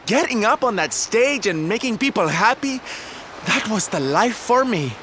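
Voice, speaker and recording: A man's voice: high-pitched, very animated, normal speed, pushed and bright, a little hiss or hum, heavily acted. An actor playing a flamboyant, sensitive male circus performer in a video game, camp and dramatic in his delivery. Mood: wistful, passionate. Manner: camp, dramatic, sighing, coy. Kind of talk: video game character acting.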